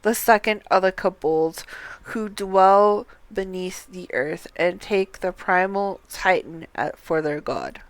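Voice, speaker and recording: A woman streamer reading fantasy game dialogue aloud in a measured, deliberate way, close to the microphone. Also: on the low side, no room sound, poor audio.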